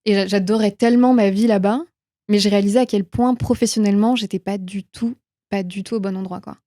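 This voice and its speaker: A woman's voice: mid-range voice, fairly even intonation, fast talker, dark tone, dry recording, studio quality. A young woman from France being interviewed, telling personal stories in an informal, reflective way, often correcting herself as she goes. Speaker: interview guest, film production manager. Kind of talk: casual conversational interview, personal anecdotes.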